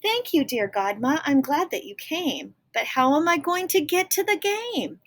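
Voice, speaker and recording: A woman's voice: sits high, big pitch swings, measured pace, voice pushed up. A woman voicing a polite, eager young Cinderella girl in a picture-book read-aloud, earnest and sing-song. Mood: grateful.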